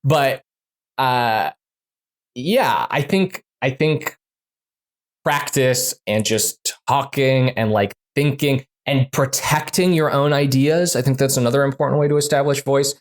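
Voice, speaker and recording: A man who designs games, talking casually in an interview, full of fillers and quick restarts. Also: medium pitch. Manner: chatty, many fillers and restarts. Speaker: game designer guest.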